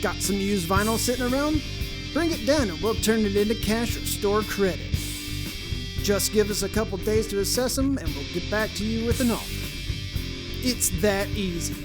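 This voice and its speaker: A man's voice: high voice, normal speed. A man reading a promotional advertisement as an announcer. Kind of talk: promotional scripted narration. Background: loud plucked guitar music.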